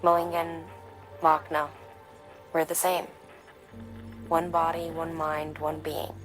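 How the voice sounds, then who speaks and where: An actress playing a calm, enigmatic scientist in a game, speaking in a measured, solemn way. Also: female voice, sits low, faint music behind, poor audio. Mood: serene, cryptic. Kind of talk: video game dialogue.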